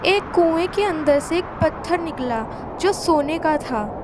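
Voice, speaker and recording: Slow, high-pitched woman telling a story. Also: animated, background noise. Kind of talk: scripted children's story narration.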